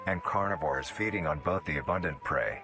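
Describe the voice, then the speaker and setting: A man reading scripted educational narration in a measured, formal, clinical manner. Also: low voice, music in the background. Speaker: documentary narrator.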